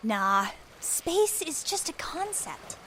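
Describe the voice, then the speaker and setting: Ellie, a sunny, gushing young woman in an audio drama, played by an actress with a high voice that bounces around a lot. She sounds endlessly upbeat and eager. A faint hiss of ambient noise sits behind her. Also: very animated, slow pace, bright, forceful tone, exaggerated voice, rough recording. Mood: cheerful.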